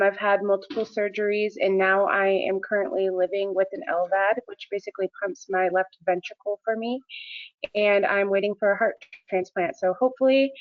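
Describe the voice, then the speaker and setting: A woman's voice: mid-range voice, lo-fi sound. A woman in her twenties from Washington State, speaking hesitantly on a panel with many ums and restarts. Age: 20s. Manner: hesitant, lots of "um", self-correcting.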